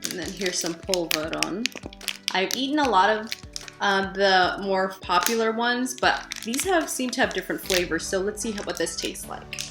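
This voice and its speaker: A woman in her thirties from the United States, chatting casually as she tries and reviews snacks at home, with animated reactions. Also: medium pitch, normal speed, over music. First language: English. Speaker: food vlogger. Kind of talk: casual taste-test vlog. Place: living room at home. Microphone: camera at desk distance.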